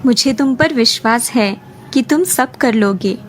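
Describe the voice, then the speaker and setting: A woman's voice: a little high, measured pace, natural voice. A woman voicing a caring village mother in a cartoon story. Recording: music under the voice.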